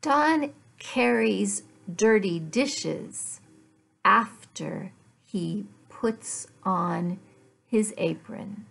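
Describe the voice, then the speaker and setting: A middle-aged woman, an English teacher, giving a lesson in deliberate, word-by-word phrases with repeated questions and answers. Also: mid-range voice, animated, vocal fry, fairly bright tone. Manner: deliberate, word-by-word enunciation for learners. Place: small furnished room at home.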